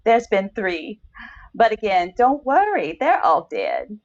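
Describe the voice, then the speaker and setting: A woman's voice: mid-range voice, wildly swooping pitch, slow pace, lo-fi sound. A woman reading a short story in the role of a mysterious older lover with many personalities, teasing and unbothered. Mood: coolly amused.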